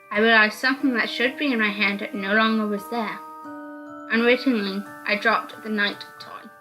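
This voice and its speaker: A woman's voice: medium pitch, pushed and bright, rough recording. A woman reading first-person story narration aloud from a visual novel.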